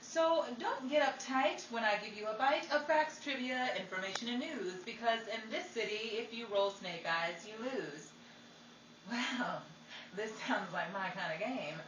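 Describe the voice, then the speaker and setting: A woman announces in a theatrical 1940s radio style, her voice on the high side. She sounds set back from the mic in a roomy space, with faint noise. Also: unhurried. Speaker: host and announcer. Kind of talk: scripted 1940s radio gossip and news narration. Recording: roomy, distant recording with background noise.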